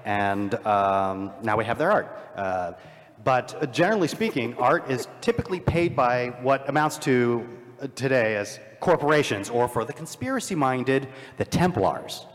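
A man at a live convention panel, rambling through a joking lecture. His voice is mid-pitched and carries the long echo of a large hall. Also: animated, a little slow.

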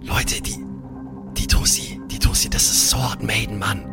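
A man playing an excitable, awkward young superhero who curses and stammers in street slang. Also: on the low side, a little slow, vocal fry, over loud music. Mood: urgent, agitated. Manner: slangy, cursing, stammering repeats.

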